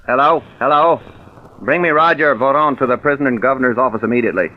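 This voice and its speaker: A man playing a shrewd, controlled prison warden with a measured, clipped manner in an old-time radio crime drama. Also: mid-range voice, slight background noise, lightly acted. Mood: commanding. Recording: muffled vintage radio recording.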